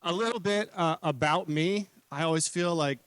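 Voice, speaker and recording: A man in his forties from New Zealand and Hawaii, speaking informally as a conference presenter in an earnest, conversational way, often halting and correcting himself. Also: fairly high pitch, fairly bright tone, clean tone, no room sound. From New Zealand-born, raised in Hawaii. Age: 40s. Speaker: conference speaker, marketing consultant.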